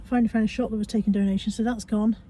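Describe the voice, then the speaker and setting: A woman's voice: medium pitch, steady tone, racing, dark tone, slight background noise. A British woman chatting casually in a vlog from her car, sounding congested as she comes down with a cold, tagging questions onto her remarks. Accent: British English. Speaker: vlogger.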